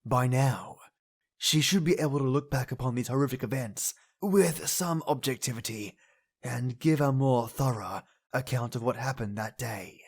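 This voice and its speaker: Husky, reflective gentleman. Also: mid-range voice, moderate pace. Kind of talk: scripted game dub monologue.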